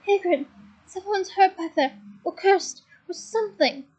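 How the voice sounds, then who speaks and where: A woman reading aloud, voicing an urgent teenage boy who shouts for help and warns his friends. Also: very high-pitched, unhurried, voice pushed up. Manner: urgent, shouting over wind.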